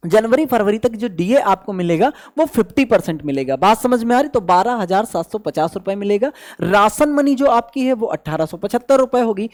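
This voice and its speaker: A woman's voice: a little high, a little mellow. A woman giving an explanatory online coaching lesson, repeating phrases and checking that listeners follow. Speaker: exam coaching instructor. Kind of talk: explanatory online lesson with Hindi-English code-mixing.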